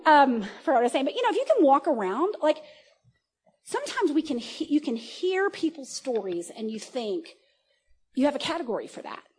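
A woman in her forties giving a casual, conversational sermon at a church service, animated, often laughing and restarting her sentences. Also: sits high, a little fast. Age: 40s. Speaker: preacher.

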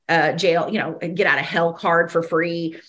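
Slightly hoarse woman, rambling podcast teaching. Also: on the low side, fairly even intonation, moderate pace, fairly bright tone, a little hiss or hum. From American South. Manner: rambling, frequent fillers and restarts, laughs between thoughts. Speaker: podcast host and ministry teacher. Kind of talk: casual spoken teaching podcast.

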